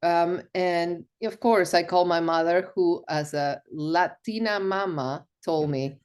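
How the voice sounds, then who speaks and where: A woman's voice: lowish voice, a little slow, darkish tone, clean tone. A middle-aged woman from Argentina, a podcast guest recounting personal stories over a remote call. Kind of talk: storytelling interview.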